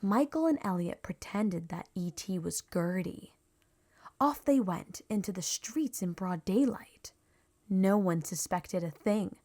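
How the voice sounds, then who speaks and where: A woman reads a scripted children's story aloud. Her pace is slow and measured, with an expressive delivery meant for young listeners. Her pitch sits in the middle for a woman. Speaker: storybook reader.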